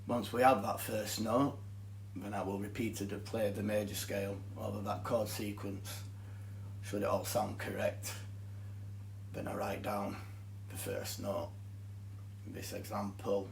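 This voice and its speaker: A man's voice: lowish voice, little pitch movement, a little slow, vocal fry, slight background noise. A man with a thick Yorkshire accent, methodically explaining guitar scales and chords as a teacher. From Yorkshire, England. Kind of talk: guitar tutorial. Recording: echoey room with guitar playing. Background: guitar music.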